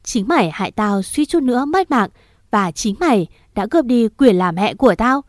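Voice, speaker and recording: Woman doing a frantic young mom, choked up and yelling, pitched up high, dry sound. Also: expressive, moderate pace, no echo, close to an everyday voice. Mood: furious shouting. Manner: sobbing pleas turning to shouted fury. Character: desperate young mother, tearful and fierce.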